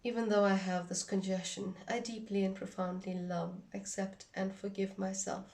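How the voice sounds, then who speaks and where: A woman giving an instructional demonstration in a measured, deliberate way, phrase by phrase. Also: mid-range voice, little pitch movement, gravelly, a little mellow, recorded from a distance. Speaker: instructor demonstrating a tapping technique.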